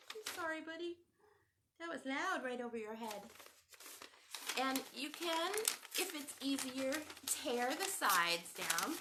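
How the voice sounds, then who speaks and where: A woman hosting a children's craft lesson at home, talking straight to young viewers in an animated, sing-song way. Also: high voice, heavy background noise. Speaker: children's show host. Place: home room with a cat nearby.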